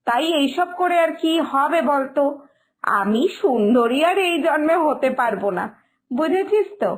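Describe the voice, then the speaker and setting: A woman voicing a sensible, patient elder sister-in-law who gently chides, in an animated moral story. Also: high voice, measured pace. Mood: resigned, chiding. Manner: chiding, patient, big-sisterly.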